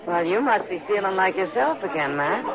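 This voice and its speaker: A performer playing a sharp-tongued saloon keeper in a 1950s radio drama, wry and needling. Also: male voice, sits high, animated, brisk. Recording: lo-fi old radio broadcast, saloon crowd behind.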